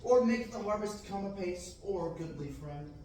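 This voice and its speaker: A man's voice: sits high, expressive, moderate pace, mellow, slight background noise. Yearning young farm boy, read by a man; declamatory verse, distant mic in a roomy hall. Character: restless young farm boy dreaming of adventure. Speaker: table-read actor. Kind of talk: amateur Shakespearean table reading. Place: large classroom, read from a table. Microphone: far room camera. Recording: echoey room, distant mic.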